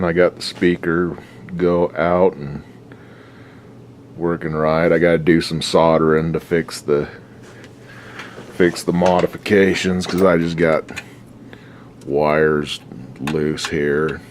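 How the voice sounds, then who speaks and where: A man's voice: low voice, little pitch movement, slow, pauses often, a little hiss or hum. A man restoring an old radio at his workbench, giving rambling, folksy commentary with a lot of swearing. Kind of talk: casual workshop commentary.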